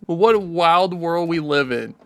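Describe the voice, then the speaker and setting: A man hosting a reaction video with casual, slangy commentary, moving between animated exclamations and muttering. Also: fairly high pitch, a little slow, smooth. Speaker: YouTube reaction host.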